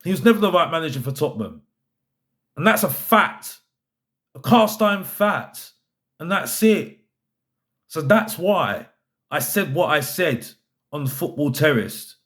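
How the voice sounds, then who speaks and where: Exasperated man on a higher-pitched football rant. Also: animated, unhurried, long pauses, fairly bright tone. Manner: emphatic, repeats phrases for effect; exasperated, fed up. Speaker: football fan vlogger. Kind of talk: opinionated fan rant to camera.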